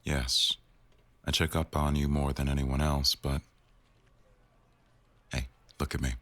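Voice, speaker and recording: A man acting an attentive, tender boss in an intimate boyfriend ASMR roleplay, murmuring slowly to an employee in a gravelly voice. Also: level tone, a bit bright, dry recording, full character voice. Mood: reassuring, adoring. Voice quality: very deep, gravelly.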